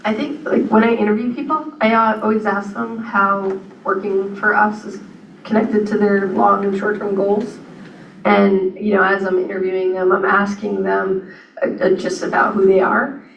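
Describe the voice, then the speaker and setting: A woman on a panel talking about hiring in a relaxed, rambling way with lots of fillers. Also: mid-range voice, moderate pace, creaky, slight background noise. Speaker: panelist and employer. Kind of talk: panel discussion. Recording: dull echoey room mic from a distance.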